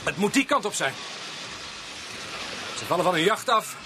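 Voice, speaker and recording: High male voice, wry seasoned cop, radio play, noisy vehicle ambience. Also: sing-song, normal speed. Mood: dryly matter-of-fact. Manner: casual, dryly joking. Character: chatty, wry small-town policeman, seasoned and bluff. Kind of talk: radio drama acting. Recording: clear background noise, outdoor vehicle ambience.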